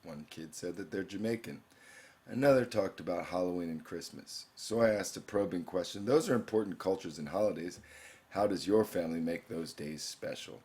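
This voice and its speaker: A man's voice: low voice, moderate pace, darkish tone. A young man, a teacher, walking through an online course in a screencast, explaining each page and reading questions aloud. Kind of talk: screencast walkthrough narration.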